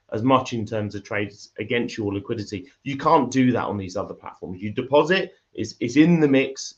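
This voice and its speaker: A man, a crypto project founder, talking in a livestream interview with a croaky voice from illness, often repeating words and restarting his sentences. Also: medium pitch, muffled recording.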